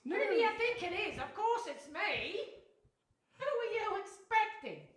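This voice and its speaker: Shrill-high bossy wife, very echoey. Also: female voice, very animated, measured pace, heavily acted. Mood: impatient. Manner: imperious, insistent. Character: bossy, ambitious, demanding fisherman's wife. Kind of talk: live radio play acting. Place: stage before a live audience in a hall. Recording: very echoey live hall.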